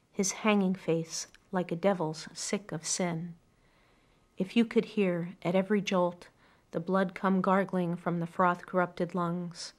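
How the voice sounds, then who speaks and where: A middle-aged woman with a fairly low voice and a noticeable creak. She speaks earnestly and thoughtfully, in the reflective manner of someone sharing something personal in a quiet room. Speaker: poetry reader telling family memories. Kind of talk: reflective personal storytelling and poem reading. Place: quiet furnished room indoors.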